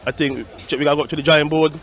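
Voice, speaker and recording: A man's voice: on the high side, level tone, racing, fairly bright tone. A man with a Caribbean accent speaking as a football coach in a post-match interview.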